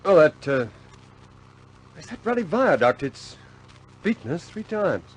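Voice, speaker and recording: An actor playing an earnest, self-doubting young RAF squadron leader in a 1950s British war film, hesitant and understated. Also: male voice, a little high, sing-song, measured pace, husky, exaggerated voice, poor audio. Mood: troubled.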